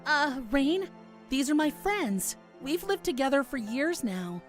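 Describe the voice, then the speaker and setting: A woman voicing a shy, gentle young male forest dweller in a visual novel, speaking in a halting, earnest way. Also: high-pitched, sing-song, soft background music. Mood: shy, hesitant. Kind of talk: visual novel character acting.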